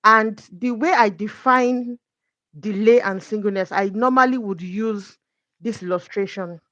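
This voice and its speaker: A woman's voice: mid-range voice, a little slow, fairly bright tone, no room sound. A woman talks as a guest coach, earnest and careful, working through her points with examples. Her voice sounds dry and a little dull, with no room around it. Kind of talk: conversational faith talk.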